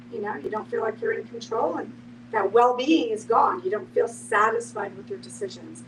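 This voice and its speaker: A woman earnestly explaining to a discussion group in a conversational way, with everyday examples. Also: on the high side. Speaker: end-of-life educator in a group discussion. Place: echoey room, away from the microphone. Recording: roomy, distant microphone, clear background noise.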